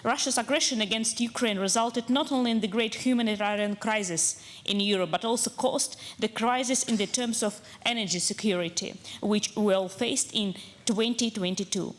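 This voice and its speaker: A woman's voice: a little high, normal speed, few pauses. A woman delegate giving a prepared conference speech in a formal, read-out way. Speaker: parliamentary delegate.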